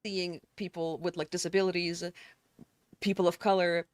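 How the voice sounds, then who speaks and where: A woman's voice: fairly low pitch, level tone, moderate pace, dry recording, clean studio sound. A woman giving her opinion in a casual, blunt, sometimes profane way, from a played clip. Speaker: content creator in a reacted clip.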